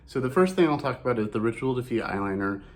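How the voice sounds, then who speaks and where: A man with a hoarse voice, recovering from a cold, chatting casually through a makeup review vlog and often correcting himself mid-sentence. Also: mid-range voice, expressive, racing. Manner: chatty and rambling, with frequent self-corrections.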